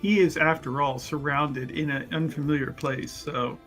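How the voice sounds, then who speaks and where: A player in a casual tabletop roleplaying game, bantering playfully and quick with a joke. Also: medium pitch, steady tone, fairly bright tone, soft background music.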